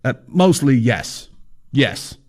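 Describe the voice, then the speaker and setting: A man's voice: mid-range voice, very animated, takes it slowly, pitched above the performer's own voice. A man voicing a rotund, pushy writing mentor who talks in a showy, theatrical way.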